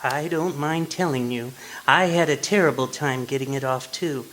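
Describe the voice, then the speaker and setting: An actor playing a meek, cheerful henpecked husband in a polite, prim manner, in old-time radio comedy style. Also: male voice, mid-range voice, moderate pace, a bit bright, natural voice, poor audio. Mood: mildly resigned. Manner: polite, mild, prim delivery. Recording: live stage recording with background noise.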